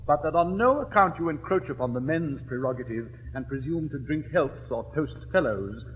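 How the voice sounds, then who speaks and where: Man playing an elegant witty gentleman. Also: on the high side, lively intonation, lo-fi sound, natural voice. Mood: wry. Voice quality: crisp, declamatory, measured. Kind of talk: classic stage comedy acting. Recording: reverberant old recording, faint hiss.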